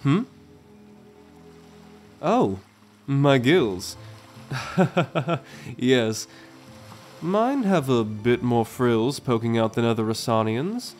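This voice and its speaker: A man playing a confident, affectionate merman prince in a gentle, teasing, courtly manner for an ASMR roleplay. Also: medium pitch, animated, very slow, pauses often. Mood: playful, fond. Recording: music under the voice.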